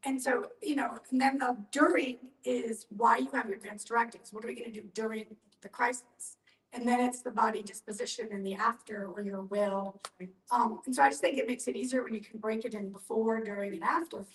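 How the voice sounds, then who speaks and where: A woman's voice: a little high, fairly even intonation, fairly quick. A woman giving conversational advice in a group discussion, explaining in an emphatic, organized way. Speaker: discussion participant. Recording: echoey room, distant from mic.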